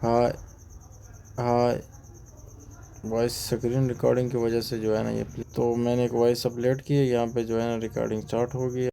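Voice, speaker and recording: A man's voice: mid-range voice, monotone, gravelly, mellow, a little hiss or hum. A man narrating an informal tutorial, explaining step by step and repeating himself. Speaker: tutorial presenter.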